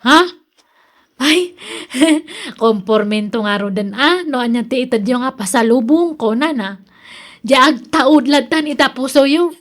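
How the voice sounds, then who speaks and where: A woman's voice: high-pitched, measured pace. A woman playing a nosy, suspicious and complaining younger wife in an Ilocano radio drama. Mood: nosy, teasing.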